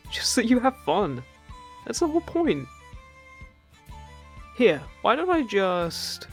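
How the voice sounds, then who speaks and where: A man's voice: high voice, full character voice. A man playing a friendly, teasing male fairy in an audio roleplay, chatting casually and reassuringly to the listener.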